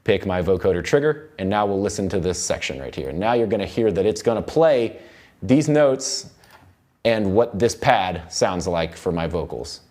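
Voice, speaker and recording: American guy with a low voice walking thru studio software, chatty, kinda thinking out loud. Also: expressive, moderate pace. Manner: informal, thinking aloud. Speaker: audio production instructor. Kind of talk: casual software tutorial, explaining step by step. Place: recording studio control room.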